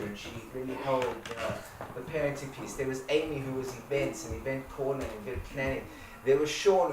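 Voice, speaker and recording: A man from Africa, speaking informally to a live audience, often repeating and restarting his words. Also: mid-range voice. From South Africa. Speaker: community owner giving a talk. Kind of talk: personal talk to an audience. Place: event space with a live audience. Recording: roomy echo, distant mic, background noise.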